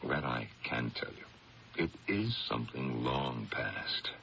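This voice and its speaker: A middle-aged district attorney in a 1970s radio drama. The voice is deep and gravelly, with dramatic swings, proud yet troubled. The old broadcast sounds muffled and noisy. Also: male voice, lively intonation, slow pace, pushed and bright, exaggerated voice. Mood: tender, troubled.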